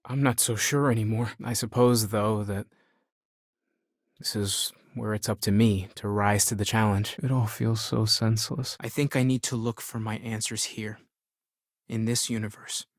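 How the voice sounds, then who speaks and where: An actor playing an earnest, thoughtful young explorer of faith in a video game, speaking sincerely and a little hesitantly. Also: male voice, fairly low pitch, fairly even intonation, normal speed, dry recording, clean studio sound. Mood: troubled, unsure. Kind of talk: scripted game dialogue.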